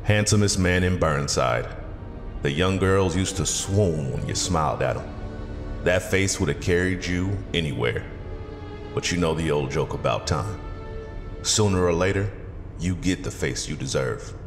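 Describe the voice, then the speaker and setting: An actor playing a grave, disappointed older mentor in an audio drama, speaking in a measured, reproachful way. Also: male voice, low-pitched. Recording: echoing hall under music.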